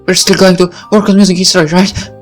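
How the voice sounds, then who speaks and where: Man as a shy, very high-voiced teenage girl. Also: flat delivery, quick pace, faint music behind. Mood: timid, hopeful. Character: shy, nervous, soft-spoken teenage girl. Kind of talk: visual novel character read-aloud.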